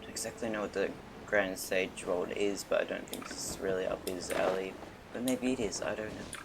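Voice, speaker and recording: Low, creaky, muttering male streamer. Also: steady tone, a little fast, background noise. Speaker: game streamer. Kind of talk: casual live game commentary.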